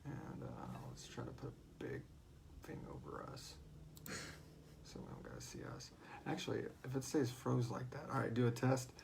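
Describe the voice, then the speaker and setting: A man's voice: mid-range voice, moderate pace, gravelly, noisy background. A man hosting a casual livestream chat in a jokey, rambling way, sometimes muttering as he sets things up. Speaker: host and co-creator of a horror audio drama.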